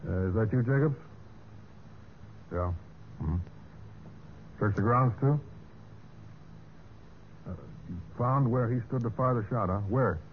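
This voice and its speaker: An actor playing a methodical police investigator in a vintage radio mystery, questioning in a measured, halting way. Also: male voice, mid-range voice, phone-quality sound, close to an everyday voice. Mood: businesslike. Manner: hesitant, measured questioning with drawn-out pauses. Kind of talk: 1940s-50s radio mystery acting. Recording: noisy band-limited old radio recording.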